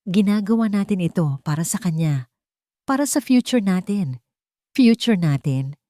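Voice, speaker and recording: A woman's voice: mid-range voice, expressive, moderate pace, dark tone, no room sound, clean studio sound, natural voice. A woman voicing a CEO's reassuring, secretive confidant in a romance story.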